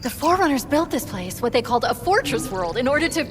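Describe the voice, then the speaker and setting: A woman's voice: high-pitched, big pitch swings, quick pace. A woman playing a brilliant, imperious artificial intelligence in a video game, with an intense, dramatic delivery. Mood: haughty, excited. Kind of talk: cinematic video game acting. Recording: long hall reverb with music behind.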